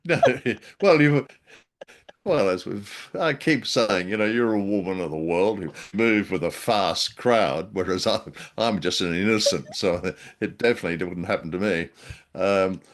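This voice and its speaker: A man's voice: deep voice, unhurried. A man co-hosting a podcast, chatting casually about an old radio drama in a self-deprecating, hesitant way. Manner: self-deprecating, hesitant, many ums and restarts. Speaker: podcast co-host. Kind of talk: casual review chat.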